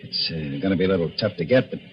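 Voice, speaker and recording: A man's voice: sits low, animated, racing, faint music behind. Guilty, desperate seaman, muffled old radio. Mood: uneasy. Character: guilty, desperate seaman turned killer and diver. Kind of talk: old-time radio thriller acting.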